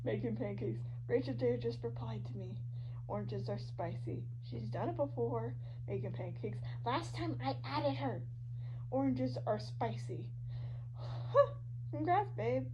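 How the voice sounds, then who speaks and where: A woman giving an animated, dramatic, slangy reading of online fan fiction, shifting between characters. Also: on the high side, measured pace. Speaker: podfic reader. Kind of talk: expressive fan fiction reading of social media posts. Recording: dull lo-fi audio with loud hum. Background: loud hum and noise.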